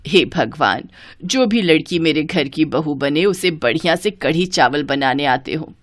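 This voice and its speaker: Woman playing a low-voiced teasing Indian mother. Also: expressive, measured pace, no echo, clean studio sound. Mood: mock scolding, pleading. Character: middle-aged mother and mother-in-law, teasing, fond, gently scolding.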